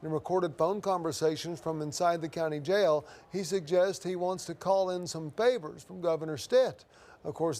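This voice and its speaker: A man's voice: on the high side, darkish tone. A man anchoring the news, reading scripted copy in a broadcast delivery.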